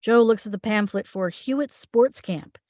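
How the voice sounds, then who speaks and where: A woman's voice: a little high, moderate pace, darkish tone, no room sound, clean studio sound. A woman reading screenplay stage directions aloud as the narrator of a table read.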